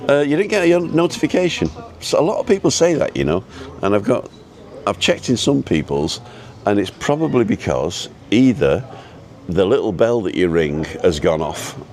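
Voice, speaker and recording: A man with an easygoing, chatty and halting way of talking, casually rambling as he hosts a live vlog. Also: lowish voice, darkish tone. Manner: easygoing, chatty, halting as he reads and answers viewer comments. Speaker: live-streaming vlogger and host. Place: outdoors at a restaurant overlooking the road and ocean.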